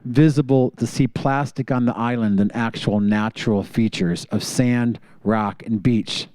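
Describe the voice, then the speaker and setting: A man's voice: mid-range voice, fairly even intonation, measured pace, a little mellow, dry recording. A man hosting a community talk show, eagerly sharing fun facts before sending the show to a segment. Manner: enthusiastic, shares fun facts with a chuckle. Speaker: host. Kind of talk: casual radio show hosting.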